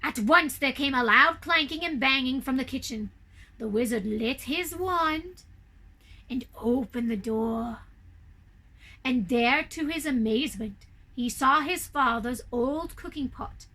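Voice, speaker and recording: A woman storyteller narrating a tale for children in an expressive, theatrical and deliberate way. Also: high voice, rough recording.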